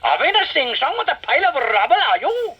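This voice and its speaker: Man putting on a screeching cartoon witch lady, very high, wildly swooping pitch, slow snappish scolding, dull old broadcast sound. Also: sing-song. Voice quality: shrill, screechy. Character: shrill screeching grumpy witch woman.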